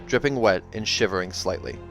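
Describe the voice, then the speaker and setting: A man's voice: low voice, fairly quick. A man reading a fantasy novel aloud as dramatic scripted narration. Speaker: audiobook reader. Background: background music.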